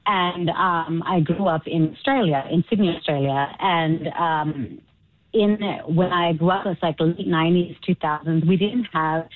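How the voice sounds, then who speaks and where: Animated woman on a tinny phone line. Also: on the low side, unhurried, dry recording. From Chile. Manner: animated, laughs often, acts out quotes. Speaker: stage actor, guest. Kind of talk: casual interview chat.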